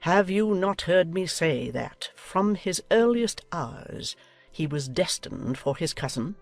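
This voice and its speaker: A woman's voice: on the low side, animated, slow pace, no echo, soft background music, full character voice. Woman playing a haughty, imperious older aristocratic lady, close and dry. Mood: indignant. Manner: imperious, declamatory, emphatic. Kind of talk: dramatized audiobook acting.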